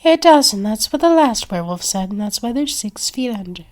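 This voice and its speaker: A woman's voice: a little high, expressive, brisk. A woman performing an acted ASMR roleplay.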